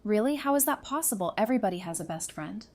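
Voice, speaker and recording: A woman playing a playful, sassy, warm-hearted doctor in an audio drama, with an expressive, teasing delivery. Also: mid-range voice, fast talker, lightly acted. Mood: warm, curious. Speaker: voice actor in a fan audio drama. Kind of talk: conversational audio drama acting.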